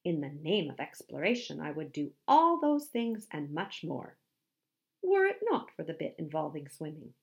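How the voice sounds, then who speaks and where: A woman's voice: low voice, very animated, moderate pace, vocal fry, full character voice. Woman putting on a pompous, vain musk ox. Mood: boastful. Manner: grandiose, pompous, put-upon.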